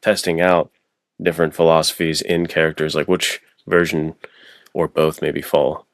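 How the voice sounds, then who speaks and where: A man's voice: very deep voice, flat delivery, moderate pace, no echo, clean studio sound. A man co-hosting a podcast in casual, slangy conversation, often acting out imagined remarks. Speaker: podcast co-host.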